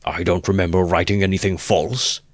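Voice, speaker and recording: A man's voice: deep voice, flat delivery, normal speed, dry recording, studio quality, close to an everyday voice. A man voicing a pompous, easily offended middle-aged suitor who speaks in a stiff, sententious way. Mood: huffy, pompous. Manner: sententious, aggrieved and stiffly formal. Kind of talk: audiobook character voice.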